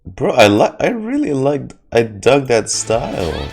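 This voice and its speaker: A man's voice: medium pitch, wildly swooping pitch, a little slow, creaky, breathy edge. A man streaming casual reaction commentary on game trailers, slangy and profane with frequent exclamations.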